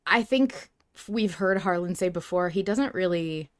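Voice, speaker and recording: A woman's voice: fairly low pitch, lively intonation, measured pace, no echo, clean studio sound. A woman co-hosting a podcast in a casual fan discussion, talking in an effusive, emphatic way. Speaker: podcast co-host.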